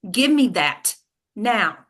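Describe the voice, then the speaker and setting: A woman's voice: mid-range voice, big pitch swings, takes it slowly, fairly bright tone, full character voice. A woman acting a bossy, frantic political aide in a comedy table read, commanding and exasperated.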